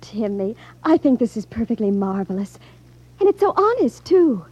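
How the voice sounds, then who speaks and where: A wide-eyed young American woman in an old radio drama. Her voice is high and soft-toned, full of eager naivety, on a dull 1950s recording. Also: very animated, moderate pace, slightly rough. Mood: delighted.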